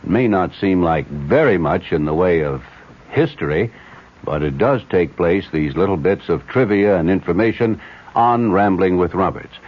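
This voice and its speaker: A man's voice: low voice, expressive, moderate pace. A man delivering a chatty, genteel radio promo with some hesitant ad-libbing, on a dull 1970s broadcast. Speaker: radio host.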